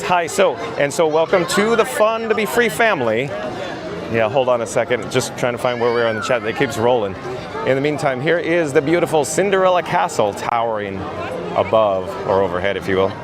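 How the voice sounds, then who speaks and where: A hoarse man with a raspy voice hosting a live theme park vlog outdoors, chatting and reading viewer comments aloud. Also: medium pitch, lively intonation, moderate pace, crowd noise. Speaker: livestream host.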